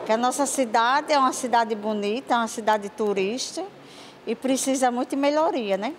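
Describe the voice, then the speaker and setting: A woman's voice: on the high side, normal speed, a little hiss or hum. An older woman, giving a vox pop with repeated tag questions. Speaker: street interviewee. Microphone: handheld reporter mic.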